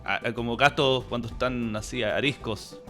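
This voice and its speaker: A man's voice: medium pitch, fairly even intonation, moderate pace, fairly bright tone, soft background music. A man hosting a conversational interview, attentive and agreeing. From Chile. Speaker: interview host.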